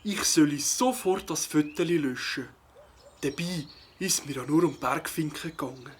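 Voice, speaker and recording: A man playing a mild, puzzled bird-watcher in a children's audio play, with a husky voice, a fussy, deliberate manner and a Swiss German accent. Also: on the high side, expressive, a little hiss or hum, natural voice. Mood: explaining. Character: mild, puzzled male bird-watcher, absorbed in his hobby.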